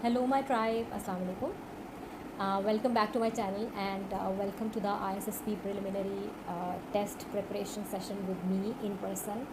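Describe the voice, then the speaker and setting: A woman's voice: medium pitch, little pitch movement. Earnest Pakistani-accented woman tutor. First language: Urdu. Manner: earnest, unhurried, many filled pauses. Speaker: online course tutor. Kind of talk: direct-to-camera teaching talk. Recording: audible background noise.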